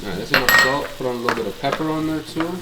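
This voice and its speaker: A man's voice: medium pitch, racing. A young man with a stuffed-up nose, chatting casually and cursing as he cooks in his kitchen. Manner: casual, profane, chatty with Spanish food words. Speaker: cooking vlogger. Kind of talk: casual cooking vlog. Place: home kitchen. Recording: clear kitchen noise behind. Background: sizzling pan and cooking noises.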